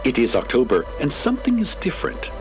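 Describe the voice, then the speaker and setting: A man playing an ominous, poetic small-town radio host, narrating in a portentous, deliberate, theatrical way. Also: medium pitch, huge pitch swings, over music. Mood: ominous, solemn. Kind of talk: scripted dramatic radio narration.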